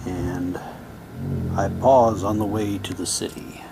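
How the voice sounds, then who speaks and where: A man giving halting, low-key, wry commentary as he casually unboxes items and reads captions aloud. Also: steady tone, gravelly, background noise. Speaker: unboxing video host.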